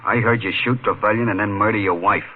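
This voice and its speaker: An actor playing an escaped maniac slasher who confesses coldly, in 1940s radio thriller style. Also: male voice, fairly low pitch, fairly even intonation, fast talker, close to an everyday voice. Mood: flat, accusing. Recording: thin, tinny old radio recording.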